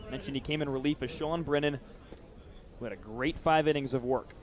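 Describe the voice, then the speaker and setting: A man's voice: medium pitch, brisk. A man doing live baseball play-by-play commentary as the announcer.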